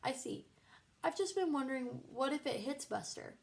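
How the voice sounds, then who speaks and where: A woman's voice: high-pitched, animated, moderate pace, vocal fry, pitched above the performer's own voice. A woman voicing a polite, anxious, fussing mother in a children's storybook read-aloud. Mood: polite worry. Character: Bitsy, polite, fussing, worried mother of a young ballplayer.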